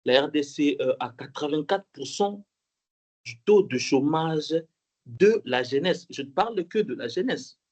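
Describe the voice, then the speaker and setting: A young man from the Congo speaks at an online conference through a call mic. His voice sits a little high for a man. He hesitates often, fills pauses and restarts phrases, then repeats his key points for emphasis. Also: lively intonation, darkish tone. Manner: hesitant, many fillers and restarts, emphatic repetition.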